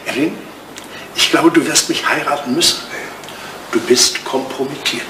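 An actor playing an ageing English lord in a retro German radio play, a vain, jealous suitor with a droll, drily teasing, theatrical manner. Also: male voice, medium pitch, moderate pace, natural voice. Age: older. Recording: distant, roomy, noisy old radio recording.

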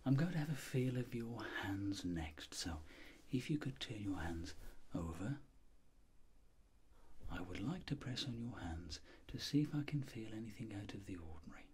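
A middle-aged man speaking in a soft, breathy, mostly whispered voice, gently and deliberately explaining each step as a doctor in an ASMR role-play. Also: on the low side, animated. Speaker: ASMR performer playing a doctor examining a patient.

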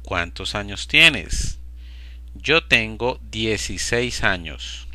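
A man slowly and deliberately repeating short phrases for language learners. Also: lowish voice, lively intonation, sharp tone, no echo. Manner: slow, deliberate, evenly paced. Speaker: Spanish teacher. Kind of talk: slow repetition drill for learners.

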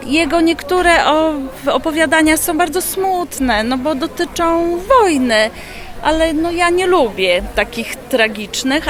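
A woman chatting informally in an interview, easygoing and quick to laugh. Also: high-pitched, fairly bright tone. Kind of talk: casual interview answer. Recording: outdoor crowd noise.

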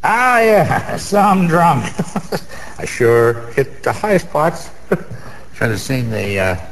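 An actor playing a boozy, boastful middle-aged Broadway gambler in a radio drama, rambling in streetwise slang with a wisecracking manner. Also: male voice, a little high, big pitch swings, very slow, vocal fry, exaggerated voice. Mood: boozy, bragging. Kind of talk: 1990s radio drama acting. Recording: reverberant hall, faint background noise.

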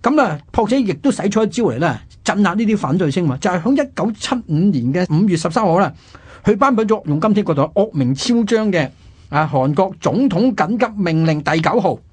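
High-pitched, animated man, Hong Kong Cantonese. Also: a little fast, husky. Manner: colloquial, explanatory, with exclamations and self-posed questions. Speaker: radio history programme host. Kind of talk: conversational historical narration.

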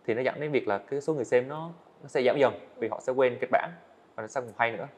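A man's voice: medium pitch, fast talker, darkish tone. A young man speaking as a podcast guest, explaining his plans at length in an earnest, casual way, seated in an indoor studio set. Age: young adult. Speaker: guest, student animator. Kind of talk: casual interview talk.

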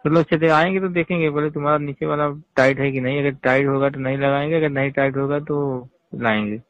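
A man's voice: fairly high pitch, fairly even intonation, a little fast, dry recording. A man talks over a narrow, tinny phone line. He is casual and insistent, circling back with repeated questions. The sound is dry and close, with no room around it. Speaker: phone caller.

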